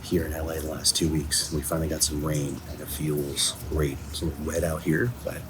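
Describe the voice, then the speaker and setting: A man speaking casually in a self-recorded video diary on location, thinking aloud in a halting way with repeated words. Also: extremely low pitch, level tone. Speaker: audio drama writer and producer. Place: coffee shops and outdoors, on location. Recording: noisy on-location recording with ambient sound.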